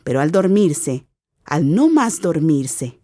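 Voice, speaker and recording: A woman giving an expressive, theatrical narration of a literary radio drama as its narrator. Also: low voice, slow pace, dry recording, studio quality. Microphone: close studio mic.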